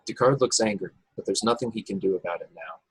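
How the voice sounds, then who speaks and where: A man's voice: fairly low pitch, quick pace, slightly rough, dry recording, poor audio. A man narrating stage directions from a script at a table read over a video call. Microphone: video call, close and dry.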